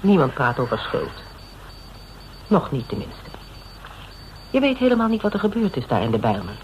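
An actor playing a level-headed detective in a Dutch radio drama, questioning methodically in clipped phrases. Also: male voice, a little high, huge pitch swings, moderate pace, highs cut off. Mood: probing, firm. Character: probing, level-headed detective piecing a case together. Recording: noisy old radio drama recording.

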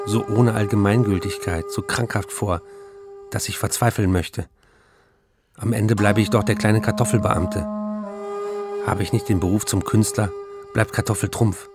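An actor playing a tormented, addicted male writer in a radio play, delivering brooding, measured literary confessions. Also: lowish voice, close to an everyday voice. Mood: despairing.

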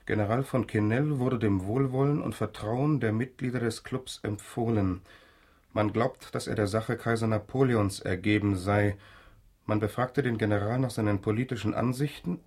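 Man playing grave young gentleman, low voice, formal n measured, radio drama. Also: lightly acted. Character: young man demanding the truth about his murdered father. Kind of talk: German radio drama acting.

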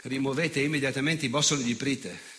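An actor playing an unrepentant, nostalgic fascist in a theatrical monologue, sardonic and gloating, with emphatic pauses. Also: male voice, mid-range voice, fairly even intonation, sharp tone, natural voice. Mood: mocking anecdote. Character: unrepentant, nostalgic old Italian fascist recounting war crimes.

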